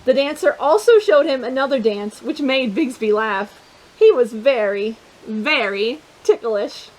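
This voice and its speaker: A woman hosting a children's storytime, reading a picture book aloud in an animated, playful way, with chatty asides to her young listeners. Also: fairly high pitch, unhurried, rough recording.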